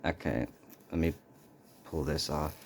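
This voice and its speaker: A man hosting a casual, unscripted unboxing video, speaking haltingly and muttering to himself as he goes. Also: very deep voice, steady tone, a little hiss or hum. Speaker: video host doing an unboxing.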